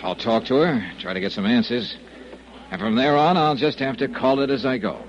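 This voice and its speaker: An actor playing a tough, wry American investigator with clipped, slangy, sardonic delivery in 1950s radio detective style. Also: male voice, medium pitch, normal speed, a little hiss or hum, lightly acted. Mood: brisk, wry. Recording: muffled old radio broadcast.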